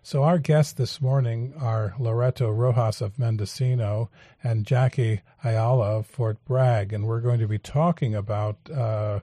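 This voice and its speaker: A male radio talk show host, interviewing guests in a measured, halting way with frequent fillers. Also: medium pitch, fairly even intonation, mellow, no room sound, clean studio sound. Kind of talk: public affairs interview.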